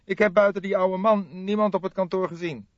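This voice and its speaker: A man's voice: sits high, fairly even intonation, a little fast, no room sound, lo-fi sound, voice pushed up, close to an everyday voice. A man voicing a young male police detective, shaken and urgent, in an audiobook. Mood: troubled, reporting. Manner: breathless, urgent.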